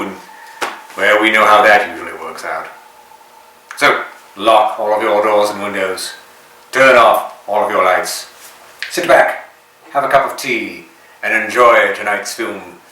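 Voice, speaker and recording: Man acting as creepy mad-scientist TV horror host, theatrical, formal, bright forceful tone, distant mic. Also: lowish voice. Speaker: horror movie show host. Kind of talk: scripted late-night horror host introduction. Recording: roomy, distant mic with faint noise.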